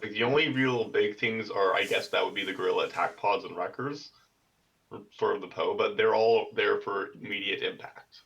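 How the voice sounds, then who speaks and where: A man discussing game strategy casually in a measured, explanatory way, as a player seeking advice over a voice call. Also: on the low side, steady tone, vocal fry, fairly bright tone. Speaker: card game player getting deck advice. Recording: dull, distant voice-call microphone.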